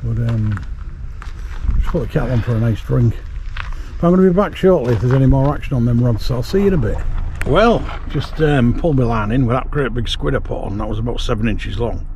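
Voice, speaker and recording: A man's voice: mid-range voice, animated, a little fast, a little mellow, slight background noise. A middle-aged man gives a rambling, casual fishing update to camera, outdoors at night. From England. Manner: rambling, chatty, with many fillers. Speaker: fishing vlogger.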